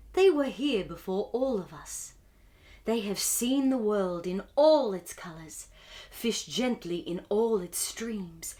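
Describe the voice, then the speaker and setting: A woman voicing a wise, gentle old male owl in a calm, hushed, wistful storybook manner. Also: medium pitch, pitched below the performer's own voice.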